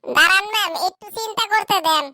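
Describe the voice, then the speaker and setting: A woman's voice: very high voice, fast, sharp tone, no room sound, clean studio sound, full character voice. A woman voicing a chubby, silly schoolboy in a comedy cartoon, speaking earnestly and with confidence.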